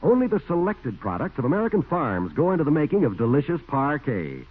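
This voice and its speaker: A man's voice: on the high side, wildly swooping pitch, brisk, breathy edge. Male radio announcer, tinny vintage sound. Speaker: commercial announcer. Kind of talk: 1940s radio sponsor pitch. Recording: tinny 1940s radio recording.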